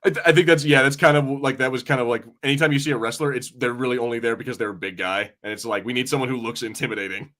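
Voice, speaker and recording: A man's voice: medium pitch, racing, few pauses, pushed and bright. A man co-hosting a podcast in casual film chat, rambling informally and often cutting himself off. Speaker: podcast co-host. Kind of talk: casual watch-party chat about films.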